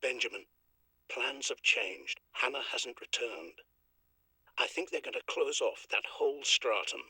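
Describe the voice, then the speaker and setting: Husky, anxious father. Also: mid-range voice, expressive, unhurried, sharp tone, no echo, exaggerated voice, poor audio. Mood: urgent, worried. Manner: urgent, worried, earnest. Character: anxious, devoted father leaving messages for his son. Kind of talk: dramatic sci-fi audio log.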